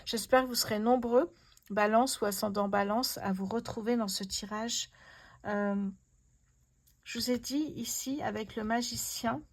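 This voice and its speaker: A woman's voice: fairly high pitch, steady tone, a little slow. A woman hosting a casual tarot reading, speaking to her viewers in an effusive, awestruck way. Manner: effusive, awestruck, repeats herself in wonder. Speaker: host, tarot card reader.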